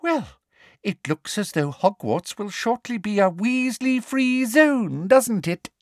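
A man voicing a sugary, cruel middle-aged witch official with a shrill, sneering tone. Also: lively intonation, takes it slowly, no echo, studio quality, exaggerated voice. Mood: icy menace.

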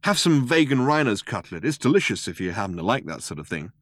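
A man's voice: medium pitch, very animated, quick pace, fairly bright tone, no echo, clean studio sound. A man playing a breezy, chatty male space traveller in an audiobook. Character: breezy, casual male space traveller who loves food. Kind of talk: audiobook character voice.

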